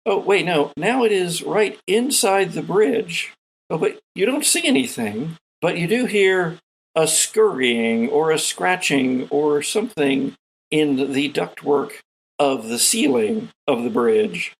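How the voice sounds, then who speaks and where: A man's voice: on the high side, expressive, slow, breathy edge, poor audio. A man narrating a tabletop game as its game master, dramatically setting each scene. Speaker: game master, podcast host.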